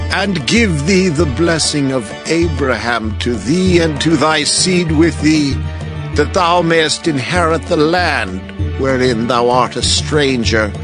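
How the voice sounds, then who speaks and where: A man playing a solemn aged biblical father, speaking in a measured way in a dramatized scripture reading. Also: fairly high pitch. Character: Isaac, solemn aged father giving his blessing. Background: music.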